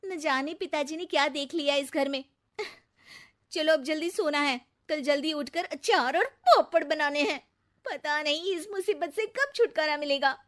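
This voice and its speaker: Woman playing a high-voiced, weary young daughter-in-law. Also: moderate pace. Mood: weary, complaining. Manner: complaining, expressive. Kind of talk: cartoon comedy acting.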